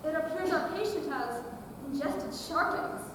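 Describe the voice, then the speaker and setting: Girl doing scatterbrained earnest surgeon in a school comedy, super high voice, big hall reverb. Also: lively intonation, unhurried. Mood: grave, clinical. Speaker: student actor. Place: large hall, stage performance. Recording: echoey hall, distant mic, noisy.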